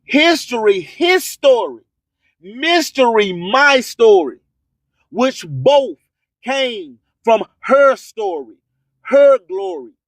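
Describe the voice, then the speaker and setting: A man's voice: very high-pitched, very animated, slow pace, no room sound. An American man hosting a radio show, preaching spiritual motivation in a declamatory, repetitive, rhyming delivery. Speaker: radio host.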